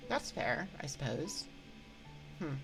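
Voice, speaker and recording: Very low-voiced woman, creaky, halting. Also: very animated, music in the background, rough recording. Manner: hesitant, halting, thinking aloud. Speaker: livestream host. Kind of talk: casual livestream chat, answering viewer comments. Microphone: close binaural microphone.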